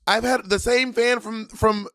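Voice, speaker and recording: A man's voice: extremely high pitch, steady tone, measured pace, pushed and bright. A man with a slight Southern accent co-hosting a podcast over a video call, teasing and joking in casual chat. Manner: teasing, sings playful made-up lines. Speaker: podcast co-host.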